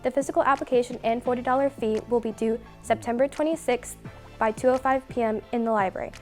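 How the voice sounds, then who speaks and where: A woman's voice: a little high, steady tone, fast, a little mellow, soft background music. A teenage girl, a club vice president, reading scripted announcement details in a businesslike way. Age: teens.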